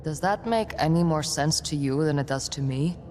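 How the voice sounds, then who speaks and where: A woman's voice: sits low, moderate pace, darkish tone, a little hiss or hum, natural voice. An actress playing a guarded, determined young woman adventurer in a fantasy video game, terse and matter-of-fact. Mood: uncertain. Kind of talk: dramatic video game acting.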